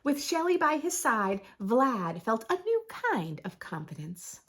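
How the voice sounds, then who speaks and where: A woman reading a picture book aloud to young children, expressively, with dramatic pauses and drawn-out emphasis. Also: a little high, very animated, a bit bright, husky. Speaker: children's storybook reader, host. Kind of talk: expressive read-aloud narration for preschoolers.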